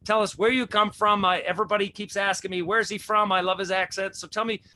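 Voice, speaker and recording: Preachy guy hosting a faith show, highish bright voice, keeps asking rhetorical questions. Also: level tone, quick pace, a little hiss or hum. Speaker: host. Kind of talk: religious talk show.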